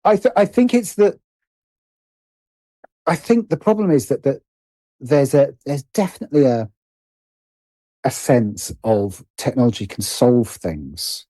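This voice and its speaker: A man's voice: medium pitch, expressive, a little slow, long pauses, dark tone, no room sound. A British man speaking as a podcast guest in casual conversation, hesitant and thoughtful as he circles around his points. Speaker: podcast guest, writer and producer.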